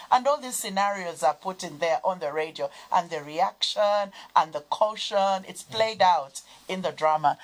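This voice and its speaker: A woman speaks as a guest on a studio discussion. Her voice sits fairly low, and she explains things in a measured way. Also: rough recording.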